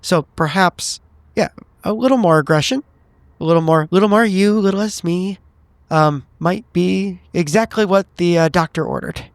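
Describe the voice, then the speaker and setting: A man playing a polite, formal special agent in a tabletop game, measured and dryly courteous. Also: high-pitched, no echo. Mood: wry, cautious.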